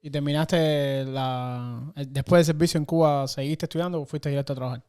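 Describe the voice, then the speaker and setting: A man's voice: on the high side, fairly even intonation, brisk, a little mellow, clean tone. A man co-hosting a casual podcast, asking short curious questions. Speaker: podcast co-host.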